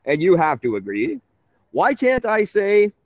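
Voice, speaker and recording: High-pitched, animated radio host, male. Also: unhurried, no room sound. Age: 30s. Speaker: radio call-in show host. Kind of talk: conversational talk radio hosting.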